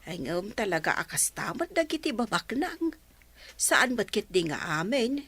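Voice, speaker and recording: An actress playing a kind, poor village mother in a radio comedy drama, speaking gently and comfortingly. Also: mid-range voice, big pitch swings, moderate pace, breathy edge, full character voice. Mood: gentle.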